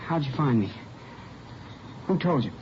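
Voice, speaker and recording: A man's voice: mid-range voice, animated, measured pace, close to an everyday voice. Casting: male actor as an uneasy young man under questioning, evasive and pleading, on a noisy 1950s radio recording. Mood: distraught, pleading. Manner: defensive, faltering, pleading. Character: frightened evasive young man cornered as a suspect. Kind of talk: 1950s radio crime drama acting. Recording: muffled vintage radio recording, clear hiss.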